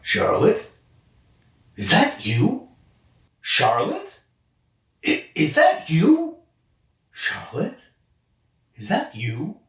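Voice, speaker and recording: A homely, affectionate family man for an audio drama. His voice is gravelly and very animated in pitch, with unhurried pacing. It is recorded at a distance in an echoey room. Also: heavily acted. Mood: searching, uneasy. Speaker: voice actor recording lines. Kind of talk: audio drama line readings.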